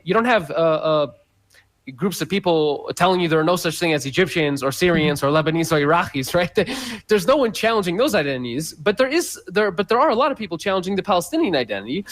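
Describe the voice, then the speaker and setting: A man's voice: a little high, fairly bright tone. A man speaking as a guest scholar in a radio interview, explaining at length with many fillers and restarts.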